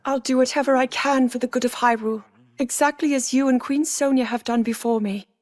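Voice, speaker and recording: A woman's voice: high-pitched, steady tone, brisk, a little mellow, no echo, lightly acted. A woman voicing an earnest, dutiful young princess in a dramatic video game, formal and respectful. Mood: earnest, determined.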